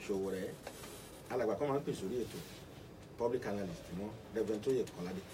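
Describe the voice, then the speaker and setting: A man's voice: on the low side, very fast. A man with a Nigerian Yoruba accent hosting a live radio current affairs programme as its presenter. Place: radio studio. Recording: noisy, distant-miked radio studio capture.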